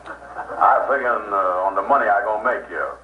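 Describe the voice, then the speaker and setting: Man doing bossy boastful schemer in old radio comedy, low voice, noisy echoey recording. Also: moderate pace, phone-quality sound, natural voice. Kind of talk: 1920s-30s radio comedy acting.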